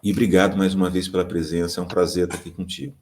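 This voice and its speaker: A man with a very low voice moderates an academic panel. He speaks in a measured way and often ends his points with short tag questions. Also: level tone, darkish tone. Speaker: mediator.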